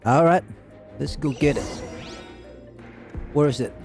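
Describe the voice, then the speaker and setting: A man commentating his own gameplay loudly, reacting and laughing at himself, with game sounds behind. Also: fairly high pitch, unhurried, creaky, soft background music. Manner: loud, excitable, laughing, self-mocking. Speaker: gamer streamer.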